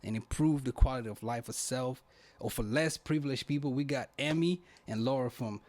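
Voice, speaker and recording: A man's voice: medium pitch, brisk, a little mellow. A man hosting a podcast interview in a casual, informal, slangy way. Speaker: podcast host.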